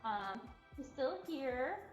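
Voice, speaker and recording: A woman's voice: high voice, sing-song, slow. A woman co-hosting a livestream with casual running commentary. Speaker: cat cafe livestream co-host. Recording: echoey room, faint music behind.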